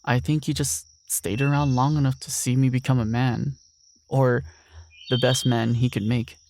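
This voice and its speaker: A man's voice: mid-range voice, little pitch movement, moderate pace, darkish tone, slight background noise, close to an everyday voice. A young man playing an earnest, lonely ranch heir, speaking a weary, reflective monologue in an audio drama. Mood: lost, weary.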